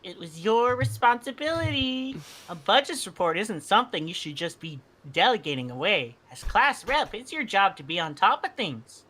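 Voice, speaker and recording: A woman's voice: medium pitch, big pitch swings, normal speed, fairly bright tone. A woman playing a stern, exasperated student council girl scolding a classmate in formal visual novel dialogue.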